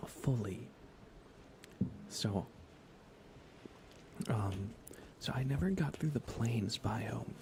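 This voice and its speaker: A soft-spoken man chatting casually in an ASMR game livestream, often slipping into a whisper as he rambles along unhurriedly. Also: mid-range voice, animated, extremely slow, creaky, pauses often, noisy background. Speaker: live streamer. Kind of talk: soft ASMR gaming stream, casual chat with viewers.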